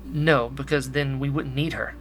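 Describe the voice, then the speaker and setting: A man's voice: medium pitch, steady tone, normal speed, lightly acted. Man playing an earnest young rebel, faint noise behind. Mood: earnest, curious. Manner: gentle, thoughtful, hesitant. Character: earnest, caring young male rebel, curious and speculative. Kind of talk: sci-fi radio drama acting.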